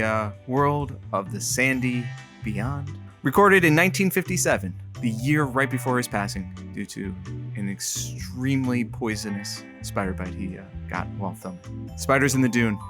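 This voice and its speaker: Man playing a theatrical radio sidekick, very animated. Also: medium pitch. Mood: dramatic announcing. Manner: theatrical, grandiose. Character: melodramatic, fickle rebellious radio station sidekick. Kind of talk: comedic radio drama.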